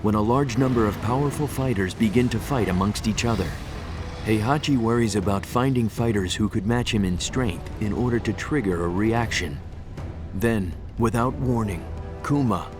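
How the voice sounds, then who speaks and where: A man's voice: on the low side, normal speed, over music, lightly acted. A man reading scripted story narration for a video game.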